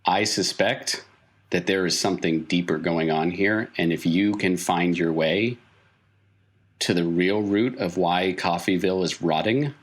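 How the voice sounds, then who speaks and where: A man playing a weary, cryptic circus clown who explains things gravely in a tabletop game. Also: low voice, a little slow.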